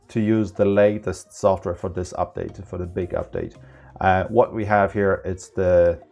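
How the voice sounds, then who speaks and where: A man presenting an informal, unscripted tech tutorial, explaining in a halting way with non-native phrasing. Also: on the low side, darkish tone.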